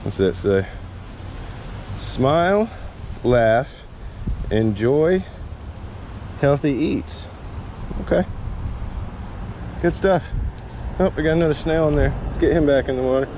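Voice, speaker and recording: A man's voice: fairly high pitch, expressive, slow pace, long pauses, darkish tone. A man doing casual outdoor vlog commentary, talking to viewers and to himself as he works, recorded on a chest-mounted action camera. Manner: talks to viewers and himself while working. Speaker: magnet fishing vlogger. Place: outdoors on concrete by water under a road bridge. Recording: noisy outdoor action-camera audio with ambience.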